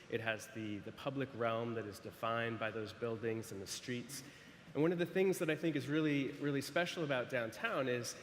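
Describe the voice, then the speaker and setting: A man in the middle of his pitch range speaks to an audience in a large echoey hall with a long reverb tail. He talks haltingly, with repeated words and "uh" fillers. Faint noise sits under the voice. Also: fairly bright tone. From San Francisco. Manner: hesitant, frequent restarts and fillers. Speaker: architect presenting to an audience. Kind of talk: conference presentation.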